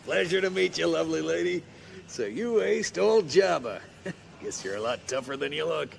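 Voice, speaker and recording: An actor playing a wild but good-hearted space pirate mechanic with a gravelly voice and boisterous, slangy talk in an audio drama. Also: male voice, fairly high pitch, animated, moderate pace, a little hiss or hum.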